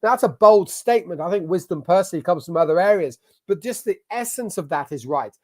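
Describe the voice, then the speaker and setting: An English-accented man talks through a screen-shared masterclass, sounding motivational and emphatic. His voice sits on the higher side for a man, and he drives his points home like a business coach rallying his audience. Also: lively intonation, fairly quick, darkish tone. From England. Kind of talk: online masterclass presentation. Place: at a computer sharing his screen.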